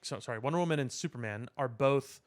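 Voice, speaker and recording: A man with an average-pitched voice talks as a podcast co-host in a casual discussion. He is opinionated and lays out his reasoning at length, in a conversational way. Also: fast talker. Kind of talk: casual pop culture discussion.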